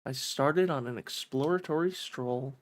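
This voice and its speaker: A streamer voicing a pensive young heroine narrating a fantasy visual novel in a measured, wondering way. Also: mid-range voice, voice pushed down, lightly acted. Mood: calm narration.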